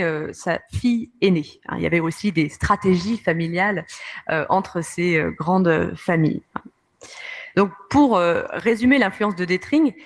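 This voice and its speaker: A woman giving a history lecture to an audience over slides, speaking hesitantly with many fillers and restarts, and addressing listeners directly as she explains each picture. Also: on the low side. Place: conference room.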